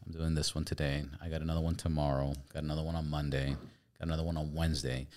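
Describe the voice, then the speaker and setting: A man's voice: a bass voice, little pitch movement, racing, darkish tone. A man hosting a podcast, chatting casually and telling stories with loose, swearing animation. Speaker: podcast host. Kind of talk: casual chat.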